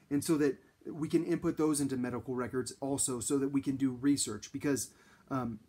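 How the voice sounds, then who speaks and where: A man's voice: mid-range voice, darkish tone, husky. A man, talking straight to camera in a small room, rambling with many ums and false starts. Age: 30s. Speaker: vlogger. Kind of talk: casual direct-to-camera talk. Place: small indoor room against a plain wall.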